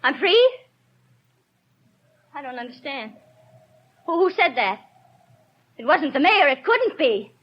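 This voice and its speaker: An actress playing a frightened, destitute young mother in a 1930s radio drama, pleading and halting as she speaks. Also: sits high, big pitch swings, full character voice. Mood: frightened, defiant. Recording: dull 1930s radio recording.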